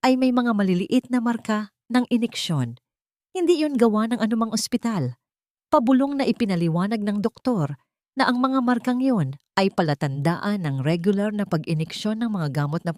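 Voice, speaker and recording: A woman with a Filipino accent narrates a scripted dramatic story in a measured, solemn, suspenseful way. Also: mid-range voice, darkish tone, no room sound, studio quality. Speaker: storyteller, narrator of audio stories.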